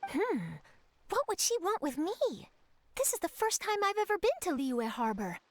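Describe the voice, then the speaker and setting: A woman playing an eager, curious young journalist in a video game, with a friendly, animated and upbeat manner. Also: very high voice, normal speed, slight background noise. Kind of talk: video game cutscene voice acting.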